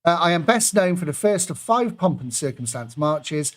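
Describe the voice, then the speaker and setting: A man's voice: a little high, very animated, normal speed. Chatty man reading pub quiz clues. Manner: chatty asides between clues, jokey.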